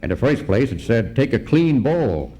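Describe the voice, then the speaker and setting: An actor playing a wry, laconic private detective in a comic radio noir parody. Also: male voice, mid-range voice, normal speed, lightly acted. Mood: deadpan. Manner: deadpan, laconic. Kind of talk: comic old-time radio noir acting. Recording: noisy live stage recording.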